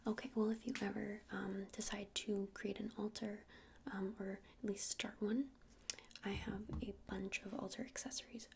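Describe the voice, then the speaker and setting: A woman whispering softly and breathily in an ASMR roleplay as a friendly witch shopkeeper, explaining things in a gentle, hesitant, rambling way. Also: medium pitch, flat delivery, normal speed, slight background noise. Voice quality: breathy unvoiced whisper with creaky edges. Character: friendly witch shopkeeper selling crystals and incense. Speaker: ASMR roleplayer playing a crystal shop witch.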